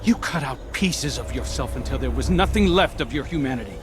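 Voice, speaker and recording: A man's voice: medium pitch, expressive, fairly quick, lightly acted. An actor playing a defiant, brooding young man at war with his darker self, earnest and terse, in a video game. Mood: resolute. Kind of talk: dramatic video game acting. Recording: music under the voice.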